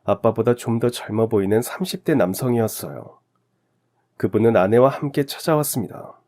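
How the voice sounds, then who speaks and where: A man's voice: medium pitch, animated, moderate pace, darkish tone. A man narrating a scripted first-person story in radio drama style.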